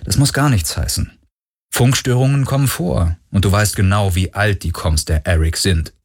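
A man's voice: on the low side, normal speed, breathy edge. A man voicing a nervous young pilot who rambles with fillers. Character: young male pilot, nervous, joking, restless.

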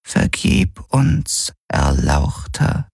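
An ancient alien village matriarch, acted out by a man for a fantasy audiobook. The voice is heavily creaky and husky, with very slow phrases that swoop up and down. The manner is humble and deeply reverent. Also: huge pitch swings, darkish tone, dry recording, clean studio sound, heavily acted. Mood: awed, apologetic. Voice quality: creaky, raspy old voice. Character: humble, reverent old four-armed alien village elder woman. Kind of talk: audiobook character acting.

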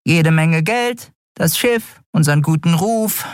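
A man voicing a small winged female companion in a fantasy audiobook, sceptical, pointed and teasing, quick to take offence. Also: a little high, clear, resonant tone, no echo, clean studio sound, pitched above the performer's own voice, natural voice. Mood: worried, pointed.

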